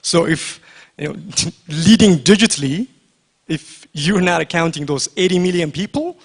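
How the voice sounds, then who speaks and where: A man's voice: on the high side, measured pace. Direct, questioning male panel moderator. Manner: direct, rhetorical questions, pressing. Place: conference hall.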